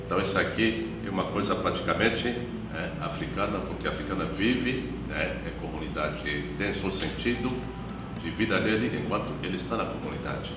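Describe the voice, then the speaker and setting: A man in his fifties speaks as a missionary priest, telling his story in a measured, reflective way. His voice sits very low. It rings through a strongly echoing room, with guitar music playing clearly behind him. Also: distant mic. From Democratic Republic of the Congo. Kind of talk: personal testimony in an interview.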